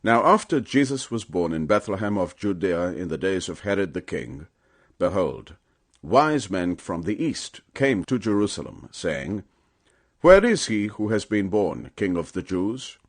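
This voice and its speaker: A man with a fairly low voice narrates scripture for an audiobook. His delivery is solemn and measured, with deliberate phrasing. The intonation is highly animated, swinging widely in pitch for dramatic weight.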